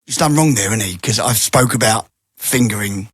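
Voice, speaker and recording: A man's voice: on the low side, moderate pace, a bit bright, husky, no room sound, studio quality. A middle-aged man co-hosting a casual podcast, jokey and teasing, laughing a lot. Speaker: podcast co-host.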